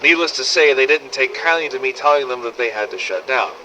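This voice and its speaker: An actor playing a foul-mouthed, cynical zombie-apocalypse survivor, narrating a wry audio diary through an old cassette recorder filter. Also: male voice, medium pitch, animated, fairly quick. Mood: wry, irritated. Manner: sweary, sardonic, world-weary storytelling. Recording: old cassette tape with faint noise.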